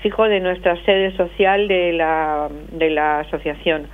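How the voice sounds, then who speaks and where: A middle-aged woman with a Madrid Spanish accent comes through a thin, tinny phone line. Her voice sits a little low. She explains things at length, with lots of pauses. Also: clean tone. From Madrid, Spain. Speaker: association spokesperson, phone guest. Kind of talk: phone-in radio interview.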